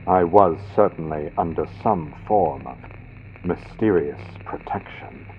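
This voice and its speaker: A man's voice: sits low, measured pace, highs cut off, slight background noise. A man narrating a gothic story as a dramatic scripted audiobook, with a vintage radio sound from a built-in computer microphone.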